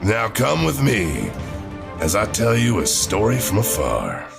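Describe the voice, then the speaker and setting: A man playing a folksy, easily exasperated storyteller in a scripted podcast promo, with a gravelly voice. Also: fairly low pitch, huge pitch swings, measured pace, music in the background, exaggerated voice. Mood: theatrical, exasperated. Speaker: dungeon master and podcast host.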